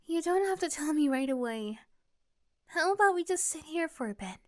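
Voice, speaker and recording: A woman voicing a tsundere young woman, high voice, bossy, protective, scolding yet tender, ASMR roleplay, dry close sound. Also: expressive, moderate pace, dry recording. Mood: assertive, caring.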